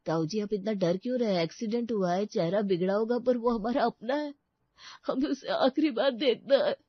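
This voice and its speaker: A woman voicing excitable, sentimental male relatives with melodramatic delivery in a comic family story. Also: fairly high pitch, moderate pace, dry recording, highs cut off, natural voice. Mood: grieving.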